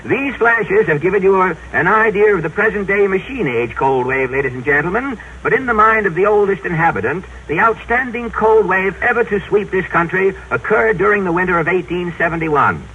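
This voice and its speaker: A man's voice: high-pitched, expressive, fairly quick, hardly any pauses, bright, forceful tone. A man announcing scripted items in a formal, declamatory 1930s newsreel style. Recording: muffled noisy 1930s radio recording.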